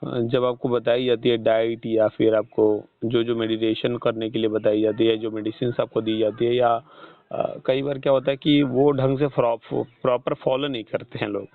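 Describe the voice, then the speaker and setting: A man's voice: medium pitch, little pitch movement, normal speed, dry recording. A man with an Indian accent explaining things in a didactic way as a health counsellor on a phone call, often repeating himself and checking understanding. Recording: muffled, band-limited phone call recording.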